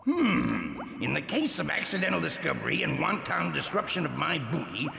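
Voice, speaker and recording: A man voicing a blustering, menacing ghost pirate captain in a cartoon game, with a gravelly voice, a stage pirate accent and theatrical threats. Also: a little high, very animated, moderate pace, background noise, exaggerated voice. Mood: mock official. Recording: echoey hall reverb, music underneath.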